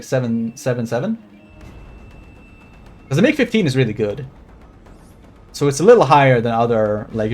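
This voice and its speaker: A man's voice: mid-range voice, a little mellow. Swedish man with an American accent, faint music. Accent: General American. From Sweden. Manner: rambling, swears freely, talks to chat while playing. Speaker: game streamer. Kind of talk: casual live gaming commentary.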